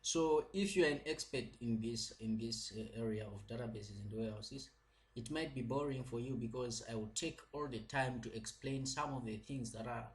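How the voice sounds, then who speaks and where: Patient man explaining slowly. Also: medium pitch. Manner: patient, repetitive explaining with many fillers. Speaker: lecturer. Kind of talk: slow recorded lecture.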